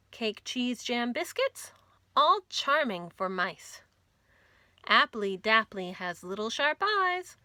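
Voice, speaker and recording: A woman hosting a children's storytime, reading stories and nursery rhymes aloud in an expressive, clearly enunciated way for young listeners. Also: on the high side, no room sound. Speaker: children's storytime host at a library.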